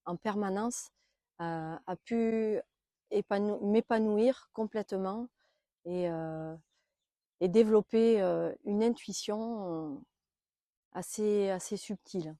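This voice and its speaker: A woman born in Belgium and raised in southern France tells her life story in a hesitant way, pausing often with fillers and growing soft and quiet. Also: medium pitch, no room sound, clean studio sound. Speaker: naturopath interviewed about her life. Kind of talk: reflective personal storytelling.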